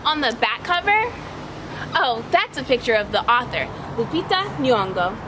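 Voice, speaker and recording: Female teacher for children's read-aloud: high-pitched, expressive storyteller, instructive, noticeable background noise. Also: moderate pace. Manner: instructional, addressing young listeners with questions. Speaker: teacher reading a picture book aloud.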